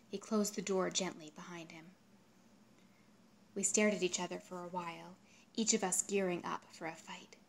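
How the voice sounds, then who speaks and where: A woman reading literary fiction aloud in a dramatic, expressive way, at times hushed. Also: on the low side, normal speed, gravelly, pauses often, slight background noise. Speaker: translator reading their own literary translation.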